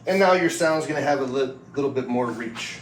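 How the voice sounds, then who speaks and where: A man's voice: mid-range voice, fairly quick. A man giving a casual product review and demonstration in a plain-spoken, explanatory way. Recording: roomy, distant mic with background noise.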